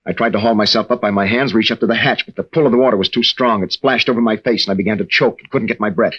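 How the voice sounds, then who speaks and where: Young American husband, ex-navy, troubled and halting; husky voice, dull vintage sound. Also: male voice, on the low side. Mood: haunted, confessional. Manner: halting, earnest, with stammered restarts. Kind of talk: 1940s-50s radio drama acting. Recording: dull old-time radio recording.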